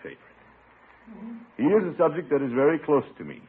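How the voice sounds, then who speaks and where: Blustery, soft-hearted middle-aged uncle, hammy 1950s radio comedy, widely swooping pitch, tinny old broadcast. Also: male voice, very animated, brisk, husky. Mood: reading aloud, softening. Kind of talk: 1950s radio sitcom acting.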